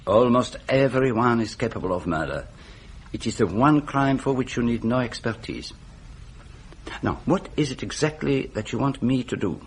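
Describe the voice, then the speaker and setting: An actor playing a shrewd, courteous foreign detective in a radio drama, speaking in a measured, formal, questioning way with musing hums. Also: male voice, mid-range voice, lively intonation, slight background noise, close to an everyday voice.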